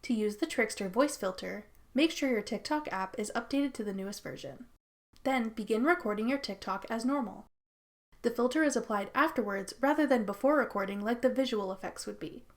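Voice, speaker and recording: A woman's voice: a little high, a little fast. A woman narrating a scripted how-to tutorial as its host.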